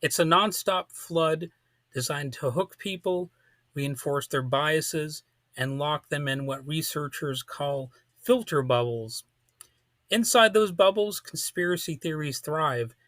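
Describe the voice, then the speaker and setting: A man delivering a partly scripted political commentary monologue, speaking emphatically with deliberate phrasing. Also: fairly high pitch, fairly bright tone, dry recording, clean studio sound.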